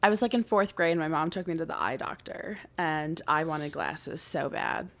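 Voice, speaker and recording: A young woman co-hosting a podcast in casual chat, her voice strained and close to giving out, talking a lot in an animated way. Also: low-pitched, moderate pace. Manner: talkative, animated storyteller. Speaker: podcast co-host.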